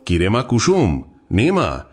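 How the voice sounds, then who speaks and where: Casting: middle-aged village exorcist dad male actor, husky, theatrical, hugely animated delivery, protective and bold. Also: fairly low pitch, slow, heavily acted. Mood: reassuring, protective. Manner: theatrical, emphatic. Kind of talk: dramatised horror cartoon dialogue.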